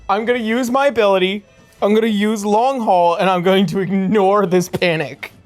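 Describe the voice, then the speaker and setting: A woman's voice: medium pitch, steady tone, moderate pace, a little mellow. A woman playing a tabletop role-playing game, casually describing her moves in a blunt, sweary way. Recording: background music behind the voice. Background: music.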